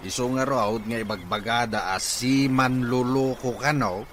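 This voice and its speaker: A man's voice: mid-range voice, fairly even intonation, measured pace, fairly bright tone, a little hiss or hum, natural voice. A man playing a distrustful, grumbling older father in an Ilocano audio drama. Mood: suspicious. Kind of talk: Ilocano radio-style drama acting.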